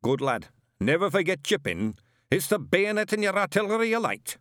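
A man doing a gruff old sergeant. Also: sits high, sing-song, normal speed, a bit bright, no echo, studio quality, pitched above the performer's own voice. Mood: commanding. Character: old male Scottish witchfinder sergeant, gruff and zealous.

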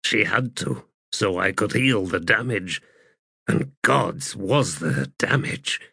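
A man voicing an overwhelmed, halting soldier healer in an audiobook. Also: on the low side, expressive, gravelly, bright, forceful tone, slightly rough, no room sound, studio quality, exaggerated voice. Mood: anguished. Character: weeping, shaken male soldier healer.

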